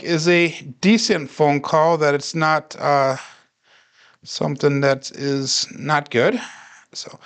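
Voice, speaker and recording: A man calmly narrating his own craps dice practice on a livestream, walking viewers through each bet and roll. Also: on the high side, smooth. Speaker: craps practice live streamer. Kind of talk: casual play-by-play livestream narration.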